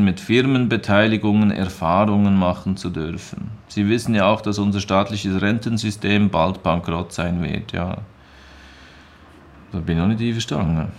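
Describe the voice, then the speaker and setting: A man reads formal letters of complaint aloud. His delivery is emphatic and insistent, over faint background noise. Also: lowish voice.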